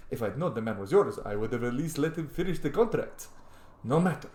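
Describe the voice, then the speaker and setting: A man's voice: medium pitch, expressive, fairly quick, a little mellow, natural voice. A suave male assassin from a fantasy game, put on by a man reading aloud, with a teasing, coaxing delivery. He sounds silky and flirtatious, and the room echoes around him. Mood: flirtatious, wheedling.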